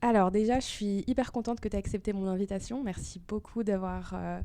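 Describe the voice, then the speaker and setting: A woman's voice: medium pitch, fairly even intonation, fairly quick, dark tone. A woman hosting an interview podcast, introducing the show and talking conversationally with her guest. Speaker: podcast host.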